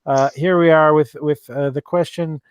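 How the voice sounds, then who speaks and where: A man's voice: fairly high pitch, fairly even intonation, moderate pace, clear, resonant tone, no room sound, clean studio sound. A man with an Irish accent hosting a live call-in chat show and reading out viewer questions, with many ums and restarts. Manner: hesitant, many fillers and restarts. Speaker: livestream host.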